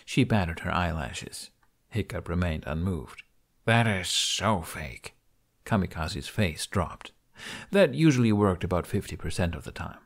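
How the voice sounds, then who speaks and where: Guy reading an audiobook, lowish voice, really animated storytelling. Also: normal speed. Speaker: audiobook narrator. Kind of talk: expressive scripted storytelling narration.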